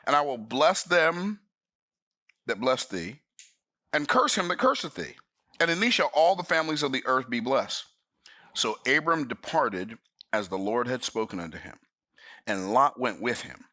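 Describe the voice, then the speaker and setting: A man's voice: medium pitch, big pitch swings, moderate pace, long pauses, sharp tone, no room sound, clean studio sound. A man in his sixties teaching the Bible in an emphatic, folksy preaching manner. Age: 60s. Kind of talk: sermon-style Bible study.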